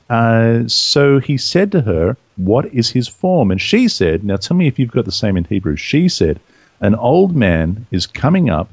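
A man's voice: mid-range voice, gravelly, a little mellow, breathy edge, dry recording. A man hosting a casual Bible study talk, eagerly reading verses aloud and interjecting with questions. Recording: muffled, lo-fi audio.

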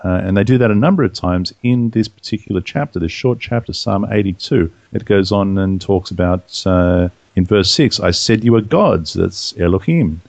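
A man's voice: deep voice, moderate pace, creaky, few pauses, darkish tone, no room sound. A man hosting a casual Bible study talk, eagerly reading verses aloud and interjecting with questions. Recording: muffled, lo-fi audio.

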